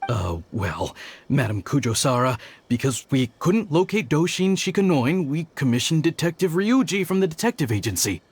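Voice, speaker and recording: A man's voice: fairly high pitch, sing-song, moderate pace, slightly rough, no echo, full character voice. A man playing a nervous, deferential junior police officer in a video game, hesitant and stammering. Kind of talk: scripted video game dialogue. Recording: music behind the voice.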